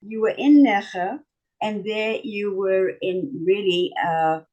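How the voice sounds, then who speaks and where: A woman's voice: medium pitch, takes it slowly, a little mellow, dull audio. A woman with a South African accent hosting a talk show and interviewing a guest with friendly, leading questions.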